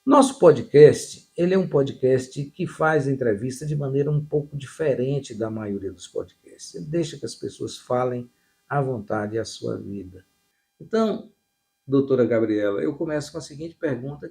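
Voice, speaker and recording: Mellow-voiced male podcast host. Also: mid-range voice, gravelly. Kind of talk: interview hosting.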